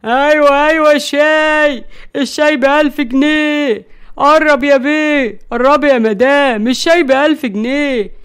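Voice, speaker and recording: Cheeky tipsy grandson hustler, played by a woman: Egyptian, high-pitched, brash street-seller swagger for an audio story. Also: fairly even intonation, close to an everyday voice. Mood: hawking. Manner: brash street-hawker calls, drawn-out words.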